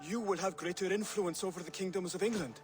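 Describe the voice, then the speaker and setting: Actor as high-pitched, earnest young assassin scholar. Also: male voice, soft background music, natural voice. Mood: grave, persuasive. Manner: measured, formal, instructive. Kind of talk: video game cutscene acting.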